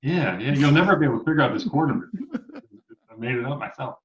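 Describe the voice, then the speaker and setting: A man's voice: mid-range voice, very animated, moderate pace. A man in his forties from New York, a guest musician chatting casually, encouraging and chuckling, hunting for dates with many fillers. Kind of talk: casual interview chat. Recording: dull, roomy remote audio.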